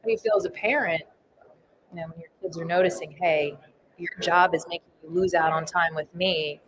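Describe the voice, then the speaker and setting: A woman's voice: lowish voice, fairly even intonation, a little fast. Thirties woman, firefighter co-host, candid storyteller, recorded live over a noisy floor. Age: 30s. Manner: candid, reflective, personal storytelling. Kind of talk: casual podcast chat.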